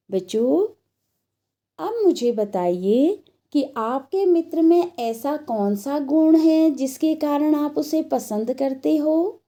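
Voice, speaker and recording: A woman speaks as a teacher telling stories to children. Her voice is high and lively in pitch, moving slowly through each phrase. She is deliberate and instructional, addressing the young listeners directly. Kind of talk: slow scripted storytelling lesson for children.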